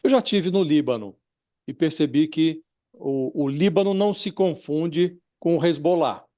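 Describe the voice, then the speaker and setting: Higher-voiced Brazilian man, news interviewer. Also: a little slow, dark tone. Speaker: news show panelist putting questions to a guest. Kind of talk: spontaneous interview questioning.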